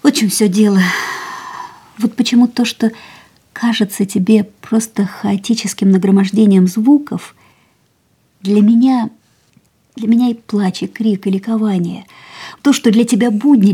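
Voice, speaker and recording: A woman's voice: mid-range voice, measured pace, pauses often, mellow, natural voice. An actress playing a cool, fatalistic young woman in a radio drama, detached and languid with a teasing edge. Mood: fatalistic, intense. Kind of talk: radio play acting. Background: music.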